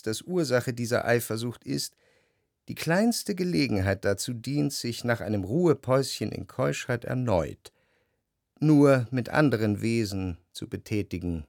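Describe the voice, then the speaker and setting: A man's voice: medium pitch, vocal fry, a little mellow, no room sound. Brooding, jealous Frenchman, dry close sound. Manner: reflective, measured, elaborate literary phrasing. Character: jealous, brooding Frenchman recalling his obsessive love. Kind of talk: literary radio drama first-person narration. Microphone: close dry studio microphone.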